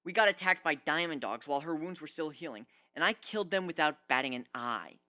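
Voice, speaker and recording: A proud young griffin warrior, acted by a man, speaks with blunt, sardonic bitterness. The voice is bright and forceful, a bit high for a male, and swings widely in pitch as he makes his point in this storybook fantasy. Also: animated, a little fast. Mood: bitter, defiant.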